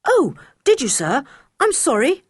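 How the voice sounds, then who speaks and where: A woman's voice: on the high side, very animated, moderate pace, slightly rough, no echo, studio quality, voice pushed up. A woman voicing a sensible, polite older boy in a storybook reading, speaking urgently and politely.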